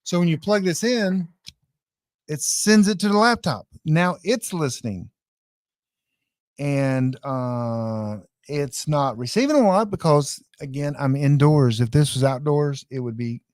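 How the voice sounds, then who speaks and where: A man's voice: on the high side, expressive, unhurried, darkish tone, no room sound, clean studio sound. A man giving a casual, hands-on live tutorial in a folksy, informal way, speaking straight to his viewers. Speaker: online class presenter demonstrating radio gear. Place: indoors.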